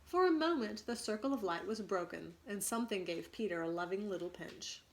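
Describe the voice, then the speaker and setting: A woman's voice: medium pitch, slight background noise. A woman teacher narrating a storybook aloud for students, expressively, with asides and questions to the children. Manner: expressive, pausing to ask questions.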